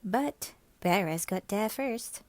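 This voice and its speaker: A woman's voice: mid-range voice, animated, slow pace, a little mellow, no echo. A woman narrating a children's story from a book, reading expressively. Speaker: storybook reader.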